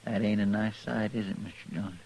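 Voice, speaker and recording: An actor playing an earnest, folksy western deputy with a rural American drawl in a radio drama. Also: male voice, lowish voice, fairly even intonation, fairly quick, creaky, a little mellow. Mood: somber wonder. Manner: folksy, plainspoken, deferential. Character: earnest, folksy deputy sidekick in the Old West. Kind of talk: 1950s radio western acting. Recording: noisy 1950s radio recording.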